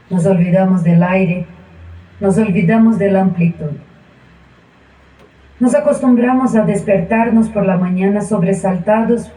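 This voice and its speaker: A woman's voice: mid-range voice, mellow, lightly acted. A woman playing a weary, reflective character in a theatrical monologue on stage. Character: weary, reflective person lamenting numb routine. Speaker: stage actor. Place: small indoor theatre, filmed in wide shot. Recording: echoey room, distant mic.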